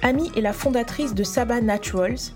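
A woman hosting a podcast, reading a measured, prepared introduction and then interviewing a guest. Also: a little high, a little mellow. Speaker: podcast host. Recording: music bed under the voice. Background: music.

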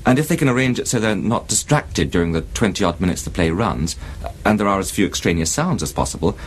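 A man's voice: low voice, lively intonation, fairly quick, breathy edge. A man presenting a 1970s radio introduction in a formal, instructional way. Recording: archive 1970s radio, faint noise.

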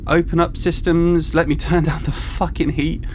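A man playing a lonely, anxious, sweary castaway on a space station, rambling haltingly through a confessional audio-drama log. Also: on the high side, level tone, a little hiss or hum, natural voice. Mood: thoughtful, weary.

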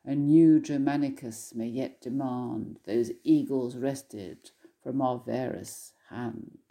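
A woman scholar reading poetry aloud in a solemn, measured, declamatory recitation. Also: rumbling low, fairly even intonation, vocal fry, dark tone.

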